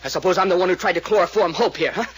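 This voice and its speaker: An actor playing a resentful, defensive grandson, a drinker, in an old-time radio drama. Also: male voice, high-pitched, very fast, a bit bright, breathy edge. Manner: sullen, defensive. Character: resentful, good-natured drunk grandson, defensive.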